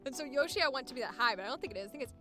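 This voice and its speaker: A young woman with a high-pitched voice, chatting casually about voice acting on a live stream and now and then trying out squeaky character voices. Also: animated, very fast, over music. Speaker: voice actor streaming.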